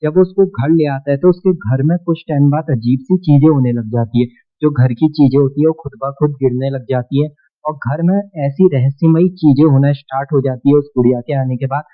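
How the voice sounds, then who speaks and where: A man's voice: mid-range voice, a little fast. A man with an Indian accent hosting a countdown video in casual, explanatory narration. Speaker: video host. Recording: muffled, narrow-band sound.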